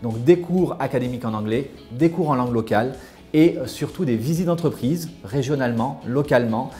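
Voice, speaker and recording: Measured man explaining, mellow voice. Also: mid-range voice, faint music behind. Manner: measured explanatory delivery with frequent fillers. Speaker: school representative. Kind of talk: promotional interview.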